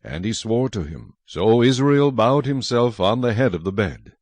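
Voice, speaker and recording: A man narrating scripture from a script as a measured, dramatic storyteller. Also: fairly low pitch, lively intonation, a little mellow, dry recording.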